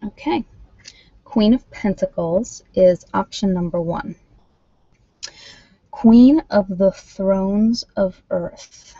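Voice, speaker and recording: A woman chatting casually as a livestream host reading cards, thinking aloud in an informal, halting way, on dull lo-fi audio. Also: mid-range voice, dark tone, no echo. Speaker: livestream host doing tarot card readings. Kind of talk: casual live chat with viewers, card reading. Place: at home, indoors.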